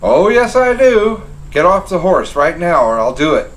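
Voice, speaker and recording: A man voicing a boastful, excitable young colonial sergeant who blusters and panics by turns, pitched well above his own voice. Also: unhurried, close to an everyday voice. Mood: defiant. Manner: frantic, blustering, brash.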